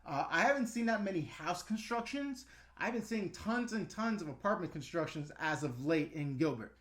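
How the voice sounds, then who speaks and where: A man's voice: sits high, lively intonation, moderate pace, fairly bright tone. A middle-aged man with a New York accent, hosting a casual livestream and answering chat in a blunt, ranting way. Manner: blunt, ranting, chatty with fillers. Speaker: YouTube host answering live chat.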